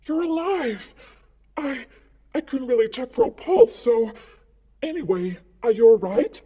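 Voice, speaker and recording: A woman acts out an awkward, anxious young ghost from a visual novel. The voice is high and mellow, taken at an unhurried pace. It stammers and apologizes in a friendly, flustered way. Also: lively intonation, slightly rough. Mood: relieved, flustered. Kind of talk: visual novel character acting.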